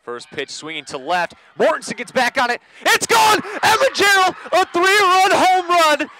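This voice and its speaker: A man calling a softball game live on the radio, measured between plays and ready to burst into excited calls. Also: very high-pitched, no room sound. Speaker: play-by-play sports commentator.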